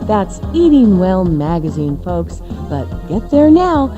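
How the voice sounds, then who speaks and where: A woman's voice: fairly low pitch, very animated, slow, highs cut off, over music. A woman announcer giving an upbeat commercial read for radio.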